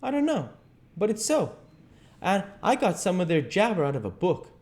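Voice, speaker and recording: An earnest country boy from a storybook, played by a man, speaks with a Southern American accent in a raised, lilting pitch. He is a know-it-all, persuasive and full of questions. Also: wildly swooping pitch, moderate pace, a little mellow. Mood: explaining. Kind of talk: audiobook character dialogue.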